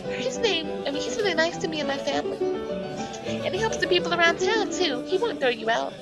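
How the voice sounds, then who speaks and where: A woman voicing a bubbly, naive little noble girl in a visual novel, excitable and childlike. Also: extremely high pitch, quick pace, over loud music, pitched above the performer's own voice. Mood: excited.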